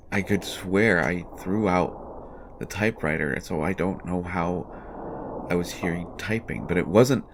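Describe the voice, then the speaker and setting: Man acting a haunted, halting, deep-voiced writer. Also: slight background noise. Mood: uneasy, haunted. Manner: halting, stammering, repeating words. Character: haunted, distraught male writer jailed for his son's death. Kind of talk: dramatic first-person horror monologue.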